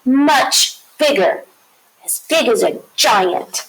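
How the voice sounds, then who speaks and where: A woman's voice: high-pitched, animated, slow, low-quality recording. A woman voicing a small, boastful boy who longs to be bigger, in an earnest, emphatic storybook reading. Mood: earnest, longing.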